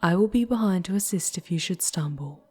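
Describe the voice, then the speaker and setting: A woman playing a charming, teasing demon guide in an ASMR roleplay, speaking intimately in formal, old-fashioned phrases with a breathy, often whispery voice. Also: on the low side, lively intonation, a little mellow, soft background music. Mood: measured, explaining. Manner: slow, formal, old-fashioned storybook diction.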